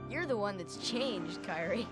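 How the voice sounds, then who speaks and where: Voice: medium pitch, expressive, a little slow, natural voice. An actor voicing an earnest, puzzled teenage boy hero in a video game cutscene. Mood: puzzled, concerned. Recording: loud music under the voice.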